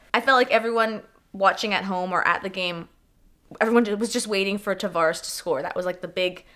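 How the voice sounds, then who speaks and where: A young woman with a stuffy cold, casually reviewing a hockey game in an excitable, swearing, animated way. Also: mid-range voice. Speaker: hockey fan vlogger.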